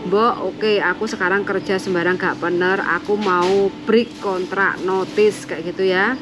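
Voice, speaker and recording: A woman's voice: medium pitch, steady tone, measured pace, over music. A woman, hosting a casual call and giving encouraging, motherly advice to a migrant worker. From Indonesia. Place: home, Hong Kong.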